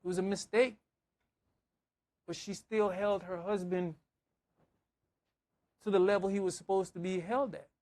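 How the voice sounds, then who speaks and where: A man's voice: sits high, steady tone, unhurried, long pauses, a little mellow. A man preaching in a conversational style, with emphatic repetition and acted-out examples. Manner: emphatic, repeating phrases, acting out dialogue. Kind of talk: conversational sermon with scripture reading and anecdotes.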